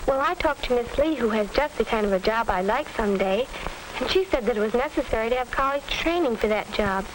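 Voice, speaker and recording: A woman's voice: fairly high pitch, lively intonation, fairly quick, lightly acted. An American actress playing an earnest, polite teenage girl in a 1940s instructional film, speaking in formal, careful phrases. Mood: earnest, unsure. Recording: crackly 1940s film soundtrack.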